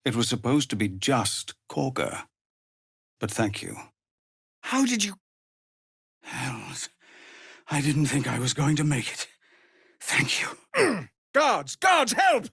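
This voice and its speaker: A noble, worn-down male leader of refugees for a video game. His voice is husky and grave, gravelly when strained, and recorded very dry. He speaks in a measured, formal way with an expressive, widely moving pitch. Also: no echo, heavily acted. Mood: desperate, alarmed. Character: weary, honourable male leader of embattled refugees. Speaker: video game character actor. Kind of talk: dramatic game dialogue.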